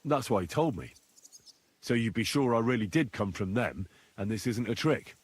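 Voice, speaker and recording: An actor playing an earnest, confident medieval adventurer in a video game, husky and courteous in a measured way. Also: male voice, on the low side, lively intonation, no room sound. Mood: assured. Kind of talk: video game dialogue acting.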